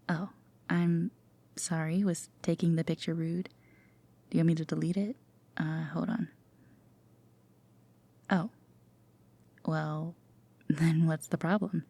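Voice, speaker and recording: A woman playing a gentle, teasing, affectionate girlfriend in an intimate sleep-aid roleplay, with a soft, breathy half-whisper and a tender manner. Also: fairly low pitch. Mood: playful, fond. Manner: hesitant, tender, gently playful.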